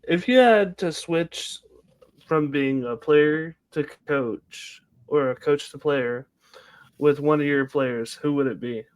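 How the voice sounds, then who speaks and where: Slow-talking man asking questions. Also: on the high side, clean tone, poor audio. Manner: short direct questions. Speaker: interviewer, podcast host. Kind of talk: interview questions.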